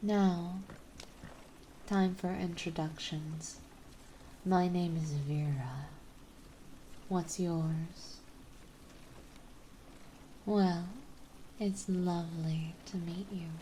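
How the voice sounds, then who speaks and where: A woman playing a genteel, possessive vampire mistress in an ASMR roleplay, speaking softly, politely and soothingly. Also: lowish voice, fairly even intonation, a little hiss or hum, low-quality recording. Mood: gracious, sympathetic. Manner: soothing, softly spoken, polite, unhurried, doting. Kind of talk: ASMR roleplay acting, partly whispered.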